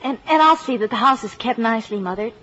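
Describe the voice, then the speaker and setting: A woman playing an eager, helpful young daughter with a husky voice, in 1950s radio acting style. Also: on the high side, expressive, quick pace, a bit bright. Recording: muffled old radio broadcast.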